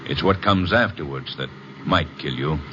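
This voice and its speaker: An actor playing a courteous but coldly menacing crime boss in an old radio detective drama, speaking formally and with measured threat. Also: male voice, extremely low pitch. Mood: polite menace. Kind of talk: 1940s-50s radio detective acting. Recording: muffled, noisy old radio recording.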